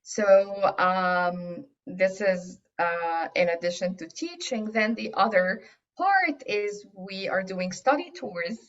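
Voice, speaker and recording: A woman's voice: medium pitch, slow, a bit bright. A woman whose first language is Arabic, from Egypt, answering interview questions in a casual, hesitant way with many fillers and restarts. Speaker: guest aromatherapy teacher. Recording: dull lo-fi audio.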